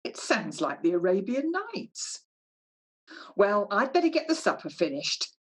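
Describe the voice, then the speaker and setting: An actress plays a fussing housewife and mother in a radio drama. Her husky voice swoops widely in pitch, and she is brisk, bossy and wry. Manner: scolding, bossy, animated. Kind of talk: radio play acting.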